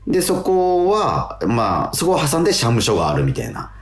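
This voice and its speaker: A man telling stories as a radio host in casual chat, excitable and colloquial, often repeating his agreements. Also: mid-range voice, moderate pace, roomy sound. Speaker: radio show DJ and stage actor.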